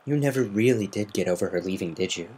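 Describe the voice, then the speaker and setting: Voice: low-pitched, animated, fast talker, darkish tone, breathy edge. A reader voicing a teasing, sardonic female griffin who is secretly fond and caring, in a dramatic fan fiction reading. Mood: gentle.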